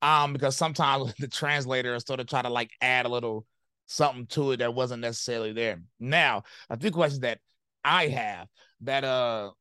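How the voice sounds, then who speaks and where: A man's voice: medium pitch, moderate pace, sharp tone, smooth, no echo. A man interviewing a guest, asking questions in a casual, hesitant way with many fillers.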